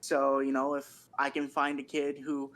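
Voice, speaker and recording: A man talking casually on a podcast, explaining things in a measured, informal way with frequent "you knows.". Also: medium pitch, monotone, a bit bright. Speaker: podcast participant.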